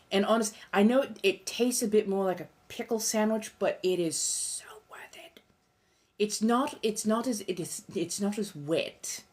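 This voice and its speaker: A woman acts out an ancient vampire empress and tarot witch in a lowish female voice. She speaks in an affected posh British accent, coy and theatrical, lingering over her endearments as she chats with viewers on a livestream. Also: a little mellow. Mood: gracious. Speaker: tarot reader hosting a live stream. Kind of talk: in-character livestream chat.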